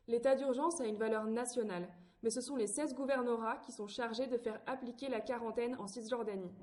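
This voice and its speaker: A woman's voice: on the high side, a little mellow. A woman reading scripted narration and questions as the host of a podcast.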